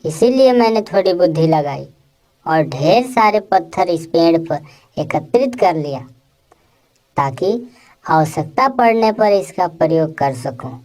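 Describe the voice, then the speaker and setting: A woman voicing a clever, fearless male monkey who reasons with self-assurance in an animated moral story. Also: fairly low pitch, a little slow, rough recording. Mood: explaining, satisfied.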